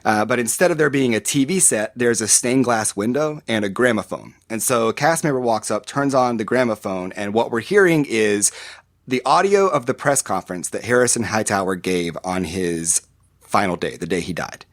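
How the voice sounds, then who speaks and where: A man's voice: lowish voice, lively intonation, normal speed, no room sound, clean studio sound. A man, a podcast guest, describing a theme park ride in a rambling casual way with many fillers and restarts.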